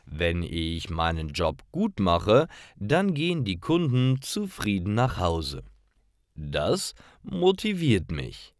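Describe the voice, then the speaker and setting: A man reading scripted stories for language learners as a narrator, in a careful, deliberate way. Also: deep voice, wildly swooping pitch, no echo, clean studio sound.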